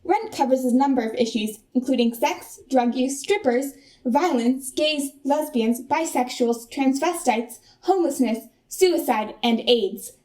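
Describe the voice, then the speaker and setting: Grandiose saleswoman, acted by a woman, high voice, spoof radio advertisement. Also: animated, unhurried, darkish tone. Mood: grandiose, salesy. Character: grandiose infomercial pitchwoman. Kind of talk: parody advertisement in a radio play.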